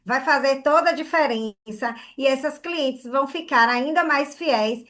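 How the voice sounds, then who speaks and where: A woman's voice: high voice, normal speed, a bit bright. A woman from Bahia, giving an online hair care class in an earnest way and often checking in with "né?" and "tá?". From Bahia, Brazil.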